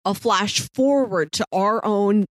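A woman's voice: medium pitch, takes it slowly, no room sound. Enthusiastic woman co-host, close dry sound. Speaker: podcast co-host. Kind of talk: casual TV-show discussion.

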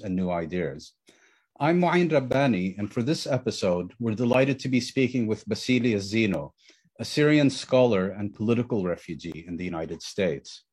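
A man hosting an interview program, reading a formal introduction and asking measured questions. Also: medium pitch, a little mellow, slightly rough. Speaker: interview host.

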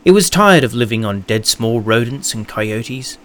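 A man's voice: medium pitch, expressive, unhurried, a bit bright. A man reading scripted narration as the narrator of an audio production. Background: background music.

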